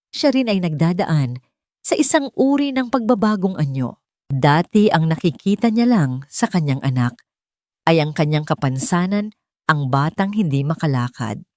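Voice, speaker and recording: Filipina woman reading an audiobook story, lowish voice, really dry close sound. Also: darkish tone, dry recording. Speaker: audiobook narrator. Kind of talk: scripted story narration.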